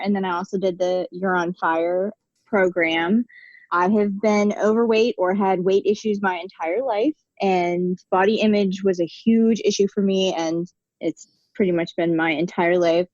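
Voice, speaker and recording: A woman's voice: mid-range voice, measured pace, a little mellow, no room sound. A young American woman talks candidly as an interview guest, restarting her sentences as she goes. Her voice comes through a dull, lo-fi video call line. The sound is very dry. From United States. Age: 20s. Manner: candid, conversational with frequent restarts. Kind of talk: casual interview conversation.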